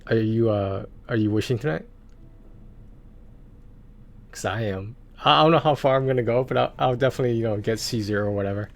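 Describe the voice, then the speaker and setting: A man chatting casually on a game livestream, halting and repeating words as he goes. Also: on the low side, gravelly. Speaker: game streamer. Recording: music behind the voice.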